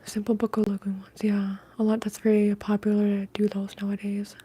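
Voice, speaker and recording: A soft-spoken woman hosting an ASMR livestream, talking in a breathy, whispery hush and chatting casually with her viewers. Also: medium pitch, completely flat delivery. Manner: hushed, unhurried casual talk.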